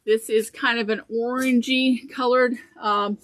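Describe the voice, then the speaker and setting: A woman's voice: on the high side, steady tone, a little slow, fairly bright tone, dry recording. A woman in her fifties co-hosting a fabric shop video, chatty and enthusiastic, repeating each item number as she shows bolts in the store. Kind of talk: casual product showcase. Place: indoor fabric store among shelves. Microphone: small camera on table nearby.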